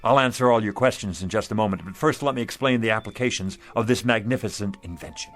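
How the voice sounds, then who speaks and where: An actor playing a down-on-his-luck street vendor, a persuasive pitchman with flowery salesman patter, in a radio drama. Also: fast talker, close to an everyday voice. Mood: grand sales patter. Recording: music under the dialogue.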